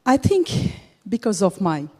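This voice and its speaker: A woman's voice: medium pitch, very animated, measured pace, darkish tone. Earnest Bangladeshi-born woman in a hall. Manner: earnest, searching for words. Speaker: award recipient. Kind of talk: onstage interview.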